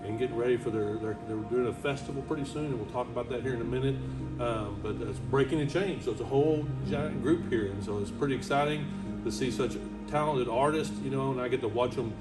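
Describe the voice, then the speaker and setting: A man's voice: mid-range voice, quick pace, far from the mic, over loud music. A man interviewing a band in a casual, loose way, often stumbling over his words. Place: large rehearsal space.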